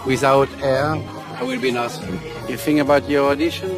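A man in his sixties from Paris with a French accent, speaking halting, simple English as a talent-show performer. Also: mid-range voice, vocal fry. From Paris, France. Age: 60s. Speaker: ventriloquist talent-show contestant. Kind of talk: stage act patter and personal interview. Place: large theatre stage and busy backstage hall. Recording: loud music and audience noise behind.

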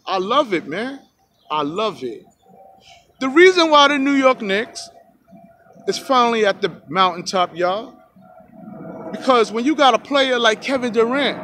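A man in his forties vlogging outdoors into a phone held at arm's length, giving excited, preachy sports opinions and calling out "y'all" to his viewers. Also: very high voice, a little hiss or hum. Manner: hyped, preachy, keeps saying "y'all". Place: outdoors by a rocky hill in a park. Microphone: phone selfie camera at arm's length.

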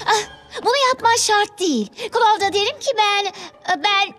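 A woman's voice: very high-pitched, normal speed, fairly bright tone, soft background music. A woman voicing a sweet, anxious teenage vampire girl in a cartoon dub. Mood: distressed. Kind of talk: animated cartoon dubbing.

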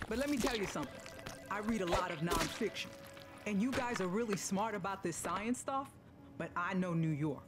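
An actress playing a brash, streetwise New York transit worker in a game, talking in a sassy, emphatic, colloquial way. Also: female voice, medium pitch, lively intonation, a little fast, over loud music, natural voice. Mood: confident. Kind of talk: animated game cutscene acting.

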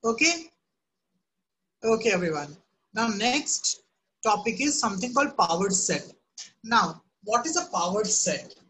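A woman's voice: mid-range voice, very animated, measured pace, pauses often, fairly bright tone, slight background noise, poor audio. A woman teaching a live online math class, often asking "right?" and "okay?" to check on students. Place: home room.

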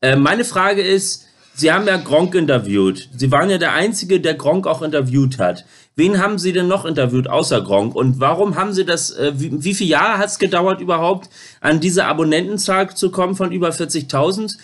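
Man in his thirties, slightly high voice, hesitant, repetitive, informal phone interviewer. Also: expressive, a bit bright. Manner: halting, hesitant, repeats words. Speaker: YouTuber making a phone call on camera. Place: home kitchen, indoors.

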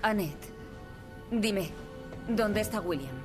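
A cold, middle-aged female scientist for a dramatic video game. Her mid-pitched voice is curt and detached. Music is clearly heard behind it. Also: lively intonation, slow. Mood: curt, impatient.

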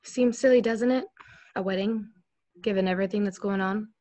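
A woman's voice: mid-range voice, steady tone, brisk, mellow, dry recording, natural voice. A woman reading the part of a wistful, direct teenage witch at a table read on a video call.

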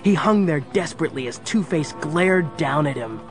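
A man's voice: a little high, expressive, normal speed. A man narrating an audio story in a dramatic, scripted storytelling style. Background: music.

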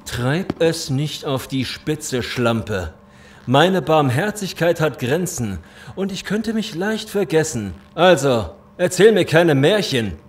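An actor playing a menacing, condescending gang leader with mock-courteous threats in a German audio drama. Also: male voice, fairly high pitch, big pitch swings, takes it slowly. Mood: threatening.

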